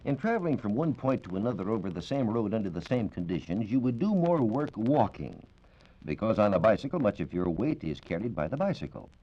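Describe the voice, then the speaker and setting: An American man explaining science in a measured, precise, formal way as a reporter on a 1940s radio program. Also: on the low side, big pitch swings, dark tone. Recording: dull 1940s radio recording.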